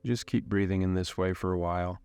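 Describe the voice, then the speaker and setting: A man leading a guided meditation in a calm, unhurried way, giving gentle instructions with long pauses. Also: low-pitched, clear, resonant tone, dry recording.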